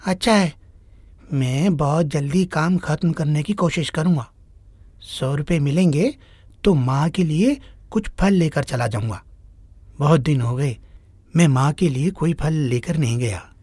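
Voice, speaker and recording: A woman voicing a devoted, gentle young village son in an animated moral story. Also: deep voice, measured pace, slightly rough, dry recording. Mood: hopeful. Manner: earnest, gentle.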